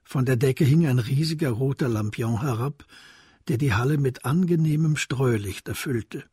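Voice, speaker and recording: A man narrating a scripted crime story in a measured, descriptive, literary way. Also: medium pitch, mellow, slightly rough, no room sound, clean studio sound.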